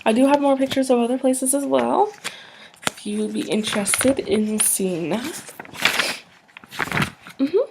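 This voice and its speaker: Soft-spoken ASMR woman with creaky voice. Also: high voice, a little slow, long pauses, background noise. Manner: polite, businesslike, hesitant, explaining procedures. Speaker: ASMR performer playing a job interviewer. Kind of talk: soft-spoken ASMR roleplay of a job interview.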